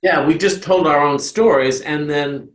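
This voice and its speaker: Slow-talking older man, echoey room. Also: a little high. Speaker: participant in a community storytelling theatre group. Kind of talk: casual, reflective group conversation. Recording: roomy echo.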